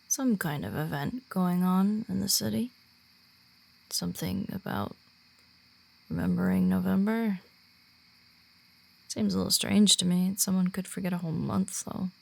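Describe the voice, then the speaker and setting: A woman playing a shy, earnest werewolf who guides a newcomer in a roleplay audio, speaking softly and haltingly. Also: on the low side, gravelly, full character voice. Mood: wistful, shy. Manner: hesitant, halting, awkward when personal. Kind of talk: roleplay audio drama, softly spoken to the listener.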